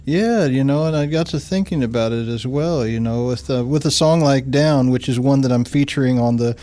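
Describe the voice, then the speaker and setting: A man's voice: mid-range voice, fairly quick, mellow. A man hosting a radio interview in a studio, asking long, curious questions and affirming his guest. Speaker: radio show host. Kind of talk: interview conversation.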